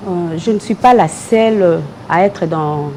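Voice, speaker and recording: A Congolese woman speaks as a political guest in French with a Congolese accent. Her tone is dark and relaxed, and her answers are measured with some hesitation. Faint noise and ambience sit behind her. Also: on the low side, poor audio. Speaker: political party member, guest.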